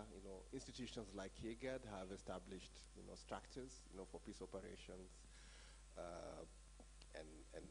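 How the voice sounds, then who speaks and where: A man's voice: lowish voice, darkish tone, slight background noise, poor audio. A man giving an academic panel talk and moderating, hesitant, with many fillers and restarts.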